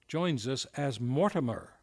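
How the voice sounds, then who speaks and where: A man's voice: mid-range voice, animated, a little slow, vocal fry, a little mellow. A man hosting and narrating in a scripted, florid old-time radio announcer style. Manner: theatrical, florid presenter delivery. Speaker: series host and narrator. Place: live on stage in a theater.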